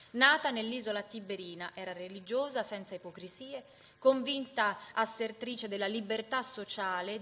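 A woman's voice: mid-range voice, fairly bright tone. A woman reading scripted historical narration for radio theatre in a dramatic storytelling style.

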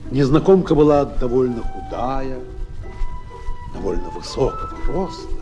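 An actor playing a lively storyteller, narrating a dramatised children's storybook. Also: male voice, mid-range voice, measured pace, soft background music, lightly acted. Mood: expressive storytelling.

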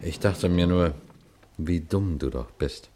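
Low male police inspector for radio crime drama; composed, shrewd narration, noisy vintage-style recording. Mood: measured, observant. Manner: matter-of-fact, measured, inquisitive. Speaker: narrator and lead actor of a radio play. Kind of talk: German radio crime play, first-person narration and dialogue.

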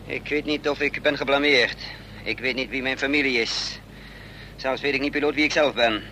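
An actor playing a bold, quick-witted fugitive in a Dutch radio play, urgent and commanding. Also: male voice, medium pitch, steady tone, bright, forceful tone, close to an everyday voice. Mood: earnest, pressed. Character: bold hunted fugitive without memory, quick-witted man of action. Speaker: lead actor and first-person narrator. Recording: noisy radio play recording with vehicle sounds.

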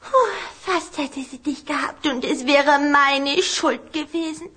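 Young vampire girl, very high voice, unhurried, affectionate, emotional, radio play with music. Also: sharp tone, heavily acted. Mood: concerned, chatty. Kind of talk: German children's audio drama acting.

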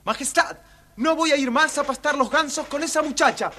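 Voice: high-pitched, brisk, sharp tone. An actor playing a cheeky, whiny, simple-minded peasant boy in a children's audio storybook. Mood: fearful complaining.

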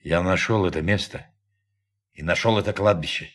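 Sober spaceship crew member, low pitch, serious, precise, forties, radio play. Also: male voice, moderate pace, fairly bright tone. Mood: serious, puzzled. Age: 40s. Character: sober, observant male spaceship crewman in forties. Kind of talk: Russian radio play acting.

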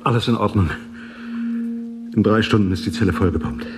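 A male actor portrays a wary, troubled man aboard a spaceship. He speaks gravely in a lowish, subdued voice, with prominent music behind, in radio drama style. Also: fairly quick, close to an everyday voice. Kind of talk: science fiction radio drama dialogue.

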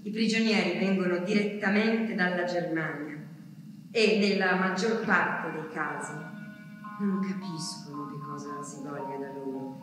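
An actress playing an anguished woman who waits for her deported husband, delivering a halting theatrical diary monologue that moves between hushed words and cries. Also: lowish voice, fairly even intonation, faint music behind. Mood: subdued, watchful. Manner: halting, repeating words, swinging from hushed to cried out. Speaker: stage actress performing a monologue. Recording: echoey hall from far off, noisy.

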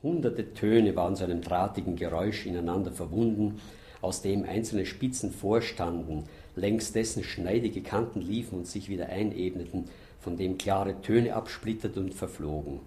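A man reads literary prose aloud. His voice is very low, with a dark and soft tone. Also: normal speed. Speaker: reader of literary excerpts. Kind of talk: literary reading.

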